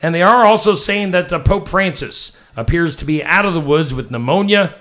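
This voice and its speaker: A male morning show host with a lively, bouncing voice that sits a little high. He runs through news items in a loose, chatty way, tossing in quick asides. He sounds like he is in a small local studio. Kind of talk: casual chat.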